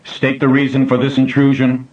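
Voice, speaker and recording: A man's voice: medium pitch, completely flat delivery, moderate pace, a little mellow, reverberant, recorded from a distance, highs cut off, voice pushed up, natural voice. A man voicing an ancient computer system that speaks formally without inflection. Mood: formal, toneless. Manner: formal, toneless.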